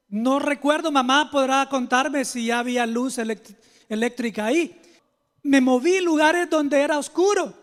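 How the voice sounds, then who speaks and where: A Salvadoran man preaches in a very high-pitched voice, with long reverb trailing his words. He tells personal stories like a pastor confiding in his listeners. His delivery is informal and direct, closer to conversation than oratory. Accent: Salvadoran Spanish. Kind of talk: conversational sermon with personal storytelling.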